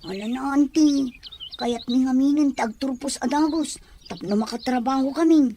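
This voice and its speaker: A woman's voice: high voice, normal speed, dark tone, a little hiss or hum, natural voice. A woman playing a young Filipino student daughter in a radio drama, pleading plaintively with an Ilocano accent.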